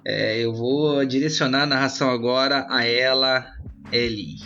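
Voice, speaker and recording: A man acting as game master, improvising roleplay narration in an informal, conversational, halting way. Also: mid-range voice, steady tone, sharp tone. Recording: slightly muffled, band-limited audio with music. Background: background music.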